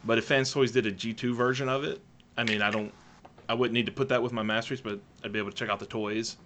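A man in a relaxed, casual chat, thoughtful and trailing off at times. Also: medium pitch, quick pace, slight background noise.

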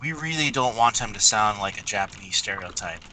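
A man's voice: lowish voice, animated, brisk, noisy background. A man in casual group chat, making dry joking quips.

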